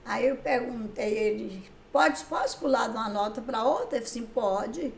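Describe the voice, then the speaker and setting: A woman's voice: on the high side, moderate pace, creaky, slight background noise. An older woman, a writer and songwriter, chatting in an interview and acting out past conversations as she tells her stories. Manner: lively storyteller who acts out past conversations. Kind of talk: casual interview chat. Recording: echoey room.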